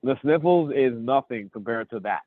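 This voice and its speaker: A man in his thirties with a cold, chatting as a podcast co-host and rambling excitedly through his stories. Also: medium pitch, normal speed, no room sound. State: sniffly from a cold. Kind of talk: casual chat. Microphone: phone. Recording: tinny phone line.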